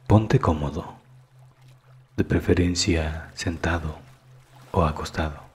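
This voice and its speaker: A man narrating a scripted guided meditation in a calm, soothing manner that is hushed at times. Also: rumbling low, slow pace, slightly rough.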